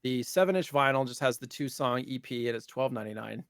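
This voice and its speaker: A man with a mid-pitched voice chats as a podcast co-host. His delivery is loose and rambling, recorded close and dry with no room sound. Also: quick pace, clear, resonant tone, dry recording. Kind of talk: casual chat about retro gaming news.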